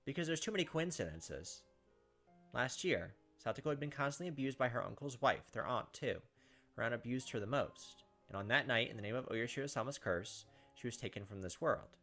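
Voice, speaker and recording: A man's voice: medium pitch, expressive, a little fast, fairly bright tone, soft background music. A young man doing casual game commentary and reading story narration aloud. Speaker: gaming video host.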